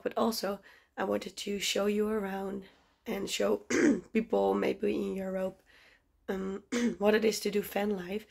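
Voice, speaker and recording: A woman's voice: medium pitch, vocal fry, a little mellow, breathy edge. A young Dutch woman in her twenties with a Dutch accent, chatting casually to her phone camera at home and laughing at herself. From Netherlands. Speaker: vlogger. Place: small apartment indoors. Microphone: phone held at arm's length.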